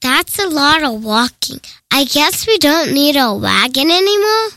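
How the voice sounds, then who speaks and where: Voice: high voice, very animated, a little slow, pushed and bright, no echo, clean studio sound, full character voice. A performer voicing a naive, eager biblical tag-along in a comedy radio sketch, wide-eyed and bewildered.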